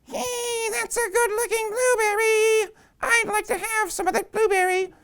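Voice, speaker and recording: A man's voice: extremely high pitch, flat delivery, unhurried, a bit bright, pitched above the performer's own voice, exaggerated voice. A man voicing a tiny, greedy female mosquito with a whiny, fed-up way of complaining, for a children's story. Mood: eager, whiny.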